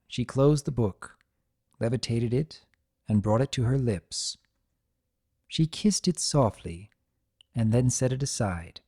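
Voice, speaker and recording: A narrator giving a dramatic story reading in a soft, measured, deliberate way. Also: mid-range voice.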